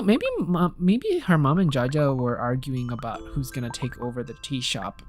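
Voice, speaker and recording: A woman's voice: rumbling low, darkish tone. A woman from Indonesia chatting casually while playing a cozy game, thinking aloud and telling a personal story. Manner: chatty, thinks aloud, reads game lines and viewer comments aloud. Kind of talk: casual let's-play chat. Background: faint game music.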